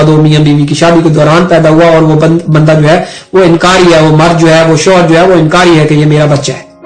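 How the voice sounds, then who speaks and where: A man with a Pakistani accent giving an informal explanatory law lesson, conversational and often repeating and rephrasing his points. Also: on the high side. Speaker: advocate and legal educator. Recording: roomy echo, music underneath.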